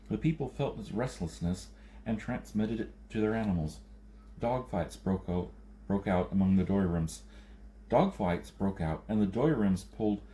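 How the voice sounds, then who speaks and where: A man narrates an audiobook in a scripted storytelling style. His voice is on the low side, very mellow and dark in tone. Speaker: audiobook reader.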